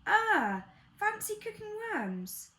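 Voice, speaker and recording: Woman voicing a curious, high-voiced young child. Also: sing-song, slow pace, sharp tone, full character voice. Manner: inquisitive, eager interjections. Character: curious young child listening to a bedtime story.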